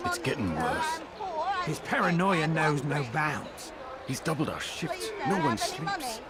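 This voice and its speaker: A man's voice: a little high, wildly swooping pitch, takes it slowly, full character voice. A man playing a nervous, overworked soldier in a video game, anxious and defensive, against a very noisy crowd. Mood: uneasy, conspiratorial. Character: nervous, overworked male soldier, cowed subordinate. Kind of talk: video game cutscene acting. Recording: noisy crowd, loud outdoor noise behind.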